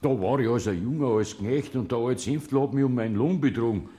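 An actor playing an old farmer in a radio play, with a Bavarian accent and an earthy, resigned manner. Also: male voice, mid-range voice, fairly quick, mellow, close to an everyday voice. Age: older.

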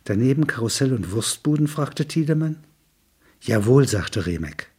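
A man's voice: mid-range voice, sing-song, normal speed, a little mellow, slightly rough. A man reading scripted literary narration, including quoted dialogue.

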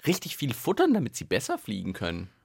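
A man's voice: mid-range voice, wildly swooping pitch, fast talker, vocal fry, husky. A man co-hosting a children's radio show, easygoing and jokey as he chats with his partner. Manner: easygoing, jokey, tries things out aloud. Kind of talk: playful chat with a co-host.

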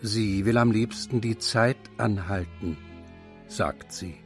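A man's voice: lowish voice, slow, soft background music. A man narrating a radio feature in a composed manner. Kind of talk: scripted narration.

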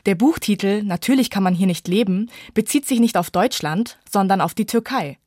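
A woman's voice: medium pitch, lively intonation, normal speed, darkish tone, dry recording. Woman narrating, dry close studio sound. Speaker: reporter and book reviewer. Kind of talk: scripted radio feature narration.

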